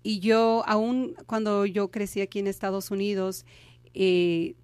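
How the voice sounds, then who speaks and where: A woman in her thirties, born in Mexico, speaking as an interview guest and telling her story candidly with pauses and restarts. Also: medium pitch, flat delivery, darkish tone, clean tone, no room sound. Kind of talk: conversational interview.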